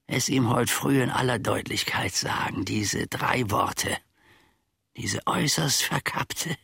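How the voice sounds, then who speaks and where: Husky, gravelly old manservant, wildly varied delivery. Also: male voice, medium pitch, fairly bright tone, no echo, clean studio sound, heavily acted. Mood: bitter resolve. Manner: mannered, dropping into whispers, archaic stilted diction. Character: aggrieved, brooding old manservant scheming against his master. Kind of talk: theatrical German radio play monologue.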